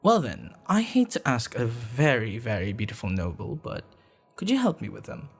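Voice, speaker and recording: A man's voice: mid-range voice, huge pitch swings, normal speed, breathy edge, exaggerated voice. A man playing a courteous, flustered, deferential storekeeper who repeats himself in an ASMR audio roleplay. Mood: flustered, apologetic. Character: polite, flustered, deferential male storekeeper, an assassin's disguise.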